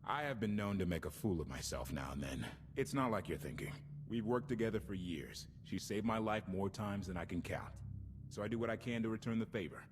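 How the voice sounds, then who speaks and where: An actor playing an earnest, wary rebel crew captain in a game, informal and emphatic. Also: male voice, lowish voice, expressive, a little fast, music in the background, natural voice. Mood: sheepish, determined. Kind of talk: video game cutscene acting.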